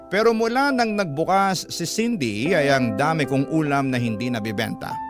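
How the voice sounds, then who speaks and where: A Filipino man hosting a radio story program, reading a first-person letter as expressive, aggrieved dramatic narration. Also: mid-range voice.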